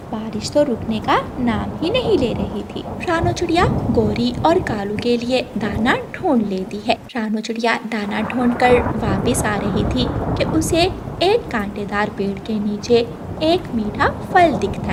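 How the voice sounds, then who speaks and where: A woman's voice: a little high, expressive, unhurried, heavy background noise. A woman storyteller giving scripted narration for a children's cartoon. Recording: loud rain and thunder behind.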